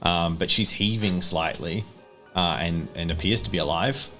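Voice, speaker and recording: A man's voice: low-pitched, normal speed, sharp tone, soft background music. A man narrating a tabletop horror roleplay game as the game master.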